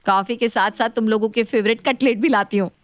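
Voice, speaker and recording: A woman's voice: fairly high pitch, steady tone, a little fast, no room sound, natural voice. A woman playing a breezy, confident modern sister-in-law in a dramatized story. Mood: friendly. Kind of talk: story dialogue.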